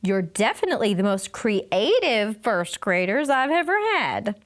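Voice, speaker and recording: A woman voicing a weary, patient male first-grade teacher in a children's storybook, measured and explanatory. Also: medium pitch, big pitch swings. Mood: encouraging. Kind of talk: children's storybook read-aloud.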